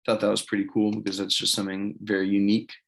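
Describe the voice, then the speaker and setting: A man's voice: on the low side, completely flat delivery, fast, gravelly. A man, giving an informal spoken presentation in a plain, matter-of-fact, casual way. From California, United States. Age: early 20s. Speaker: college student presenting an assignment.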